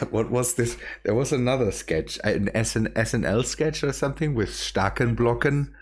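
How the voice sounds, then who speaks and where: A man's voice: medium pitch, moderate pace, background noise. A man hosting a casual game stream, laughing often and restarting his sentences. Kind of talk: casual gaming chat.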